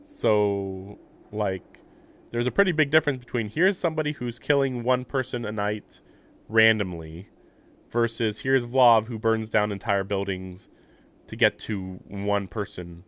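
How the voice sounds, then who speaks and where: A man's voice: fairly low pitch, measured pace, a bit bright. A man streaming a visual novel, reading its text aloud and reacting in a rambling, casual way, with swearing and short laughs. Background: game music.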